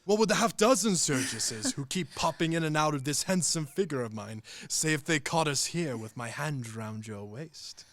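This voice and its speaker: A man acting in a radio play as a vain, tormented gentleman war hero, with a pompous, theatrical delivery. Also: fairly high pitch, animated, moderate pace, hardly any pauses, no echo. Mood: self-mocking, flirtatious.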